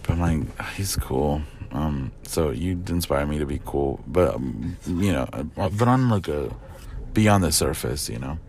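Young male creator, very low voice, rambling and excitable, light background noise. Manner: halting, many fillers, excitable. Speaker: content creator and host. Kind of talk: casual podcast chat.

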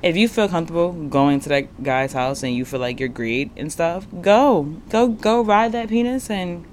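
A woman's voice: lowish voice, expressive, normal speed, a little mellow, slight background noise. A woman in casual chat as a podcast co-host, rambling and joking, often correcting herself mid-sentence. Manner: rambling, jokey, self-correcting.

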